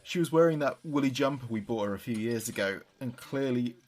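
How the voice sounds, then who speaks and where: An actor playing a grieving son who talks reflectively and haltingly to his late father in an audio drama monologue. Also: mid-range voice, a little mellow, lightly acted. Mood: wistful, chatty.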